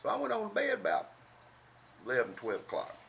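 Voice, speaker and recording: A man's voice: sits high, wildly swooping pitch, a little fast, gravelly. An older man with a Southern US accent tells a casual comic story in a folksy, drawling country way with colorful slang. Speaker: comedic storyteller. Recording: dull lo-fi home recording, background noise.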